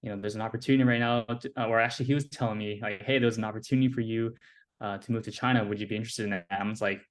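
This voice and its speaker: Relaxed young American man, low voice. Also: racing. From United States. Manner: relaxed, filler-heavy speech with many "like" and "you know". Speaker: guest. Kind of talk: casual conversational interview.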